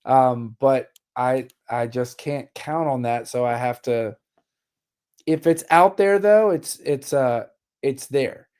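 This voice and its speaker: A musician in his forties, from Richmond, Virginia, speaking as a radio guest in a candid, wry, matter-of-fact way. His tone is mellow and relaxed, and his voice sounds a little worn. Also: medium pitch, slow pace, clean tone. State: slightly losing his voice. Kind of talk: casual interview chat.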